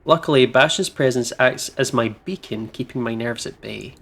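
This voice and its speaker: A man doing casual let's play commentary and reading narration aloud, expressive and playful in manner. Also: mid-range voice, normal speed, creaky. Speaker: gaming streamer reading aloud.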